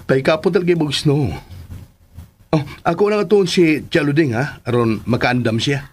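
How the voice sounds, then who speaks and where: Young Filipino husband, radio drama. Husky, reassuring, fond father. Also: on the high side, moderate pace. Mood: reassuring, eager.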